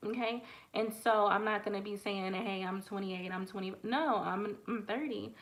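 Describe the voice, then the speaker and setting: A woman's voice: medium pitch, normal speed, rough recording. A woman in her late twenties hosting a casual livestream and answering viewer questions as a dating coach. She talks frankly, with lots of fillers. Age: late 20s. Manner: frank, conversational, many fillers.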